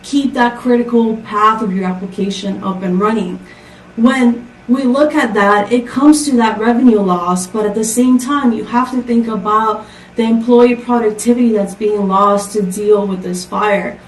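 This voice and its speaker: A woman's voice: on the high side, fairly even intonation, moderate pace, a little hiss or hum. A woman from Costa Rica giving a prepared tech conference talk, upbeat and full of rhetorical questions. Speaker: conference speaker. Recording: echoey, distant from the mic.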